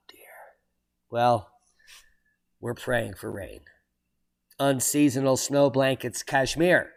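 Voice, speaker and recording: A man hosting his own channel, reading weather and earthquake headlines aloud and breaking off for sardonic asides and sudden exclamations. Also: medium pitch. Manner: exclaims loudly at surprising items. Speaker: channel host reading weather and geology news. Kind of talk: solo commentary reading headlines.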